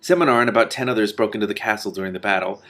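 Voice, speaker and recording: A man reading the part of a calm, wry king and father in a storybook. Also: lowish voice, racing. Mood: measured, wry.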